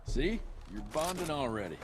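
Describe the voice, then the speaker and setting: An actor playing a tough, blunt and wry vampire hunter in a video game cutscene. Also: male voice, fairly high pitch, very animated, moderate pace, background noise. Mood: threatening, teasing. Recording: loud horse and cart ambience behind.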